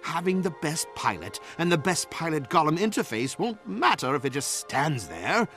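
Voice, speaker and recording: An anxious, boastful inventor in a fantasy game. The voice is sharp and somewhat high, leaping around in pitch as he frets. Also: moderate pace, soft background music. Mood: panicked, baffled. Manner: fretful, animated. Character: anxious, boastful male asura golem inventor. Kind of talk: scripted game cutscene acting.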